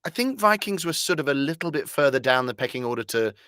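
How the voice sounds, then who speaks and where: Guy in an interview, dry close sound, middle pitched, wordy and kinda whimsical. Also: animated, quick pace, pushed and bright, no room sound. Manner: articulate, wordy, whimsical turns of phrase. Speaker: guest, voice actor. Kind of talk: conversational interview.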